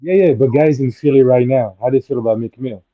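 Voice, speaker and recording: A man on a casual podcast who mostly murmurs agreement and drops in quick questions. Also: medium pitch. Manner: quiet backchannels, quick questions. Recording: muffled lo-fi sound.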